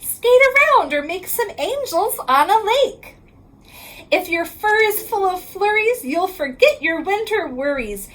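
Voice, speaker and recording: Very high-pitched woman reading a picture book. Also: expressive, a bit bright. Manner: sing-song rhyming delivery, drawn-out line endings. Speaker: reading specialist reading aloud to children. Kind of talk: picture book read-aloud.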